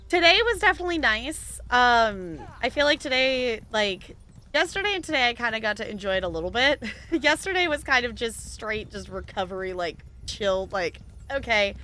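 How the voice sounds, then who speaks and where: A chatty woman streaming a game, her voice bright and a little high. She laughs often, talks to her character, and rambles about her day. Faint game music plays behind her. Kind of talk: casual chat while gaming.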